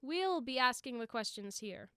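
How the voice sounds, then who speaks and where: A woman's voice: on the high side, lively intonation, normal speed, a bit bright, clear, resonant tone, no echo. A young woman playing a bossy, theatrical young god who hosts a talk show, easily exasperated. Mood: brisk host. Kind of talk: amateur audio drama acting.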